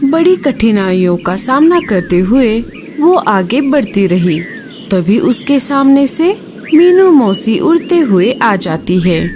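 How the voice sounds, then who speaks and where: A woman narrating a children's cartoon story in an expressive, singsong way and speaking to young viewers. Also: fairly high pitch, slow, background noise.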